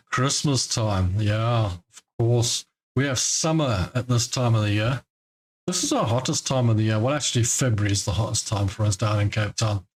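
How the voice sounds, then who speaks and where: A man born in Scotland who grew up in South Africa, hosting a live trading stream in relaxed, rambling chat and laughing often. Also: fairly low pitch. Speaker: trading livestream host. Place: home in Cape Town.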